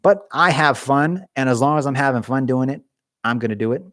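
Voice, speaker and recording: A man's voice: medium pitch, a little fast, fairly bright tone, no echo, clean studio sound. A young man chatting casually on a live stream from his garage, rambling and joking with viewers, his voice getting worn. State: losing his voice.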